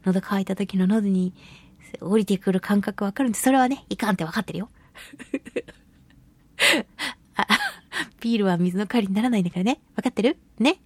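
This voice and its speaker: A woman hosting a casual solo radio talk and reading listener mail, chatty, giggly and expressive, with a slightly breathy voice. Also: mid-range voice, normal speed, pauses often, no room sound. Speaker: radio show host and voice actress.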